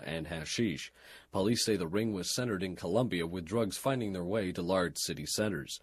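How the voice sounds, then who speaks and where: A low-pitched male announcer reads scripted radio news and sports copy. His voice has a husky grain and a formal, measured broadcast delivery. The sound is dry, with no room around it. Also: no room sound.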